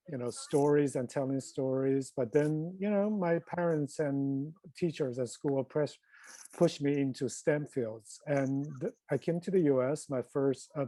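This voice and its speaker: A man from China with a Chinese accent, a filmmaker reflecting casually and earnestly on a panel, in a halting way. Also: mid-range voice, mellow, no room sound, rough recording.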